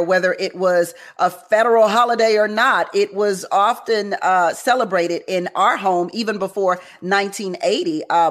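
A woman from Houston, Texas, speaking as the host of a panel discussion show. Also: medium pitch.

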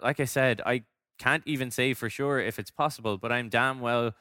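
A man with a crisp, bright voice delivers a prepared talk in a very dry recording. He explains his points in an orderly way, slipping in conversational asides as a presenter would. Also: medium pitch, normal speed, no echo. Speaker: product design director giving a talk. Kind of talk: prepared conference-style presentation.

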